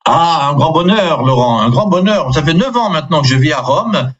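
An older man from Alsace, a priest, talks freely in a radio interview, stopping on fillers and apologizing with a small laugh. His voice is husky, and the sound is a little muffled and lo-fi. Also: on the high side, expressive, moderate pace, fairly bright tone. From Alsace, France. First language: Alsatian. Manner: chatty, hesitant with many fillers, self-deprecating.

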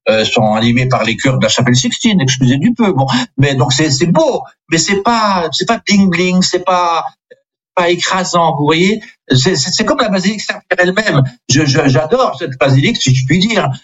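Older man, priest, native Alsatian speaker, husky, hesitant, apologetic, muffled lo-fi sound. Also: high voice, expressive, moderate pace. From Alsace, France. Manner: chatty, hesitant with many fillers, self-deprecating. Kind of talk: radio interview.

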